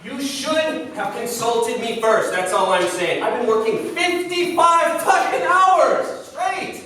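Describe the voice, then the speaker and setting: Volatile, worn-out male artist played by a man at a staged reading, defensive, wide swings in pitch, very echoey room. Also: expressive, slow pace, bright, forceful tone, full character voice. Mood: exasperated. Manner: profane, defensive, swings between muttering and outbursts. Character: overworked, volatile male sculptor, defensive and perfectionist. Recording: very echoey room, distant mic, faint noise.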